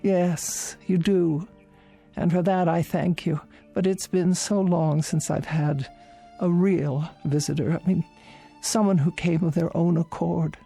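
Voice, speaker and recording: A woman's voice: on the low side, steady tone, unhurried, creaky, mellow, slightly rough, soft background music, full character voice. Fretful, lonely old woman. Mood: lonely, bitter. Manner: querulous, prim, self-pitying. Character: lonely, fretful, demanding elderly invalid woman. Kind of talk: radio drama acting.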